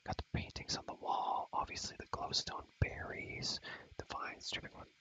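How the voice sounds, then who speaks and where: Young guy whispering asmr gaming stuff, super bright breathy whisper, rambly n slangy, repeats himself. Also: normal speed. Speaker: ASMR creator showing a game world. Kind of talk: whispered ASMR gameplay commentary.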